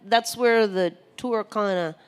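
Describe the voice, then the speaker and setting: A woman's voice: mid-range voice, slow pace, clear, resonant tone. A woman hosting a live radio show, joking and folksy with plenty of fillers.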